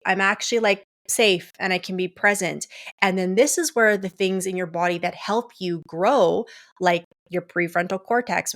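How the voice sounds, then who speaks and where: Low-voiced woman coaching in casual talk. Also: creaky, fairly bright tone. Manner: explains step by step, checking in with "okay?". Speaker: coach and podcast host.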